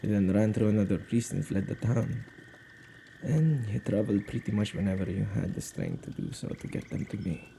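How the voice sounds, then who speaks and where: Guy acting as a worried protective male witch, asmr roleplay, super mellow dark voice, bit of fry, halting and expressive. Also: on the low side, low-quality recording. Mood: calm recounting. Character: anxious, protective young male witch, guardian of spirits. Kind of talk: intimate ASMR audio roleplay drama. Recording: faint background noise.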